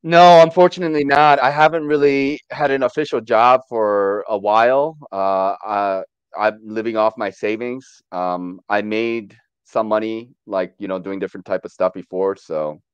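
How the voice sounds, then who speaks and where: A man's voice: fairly low pitch, steady tone, normal speed, smooth, no echo, dull audio. A man in his thirties, born in Korea with Korean as his first language, chatting hesitantly as a guest with many fillers over a video call. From born in Korea, raised in the US. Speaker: panel guest. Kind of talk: casual livestream chat.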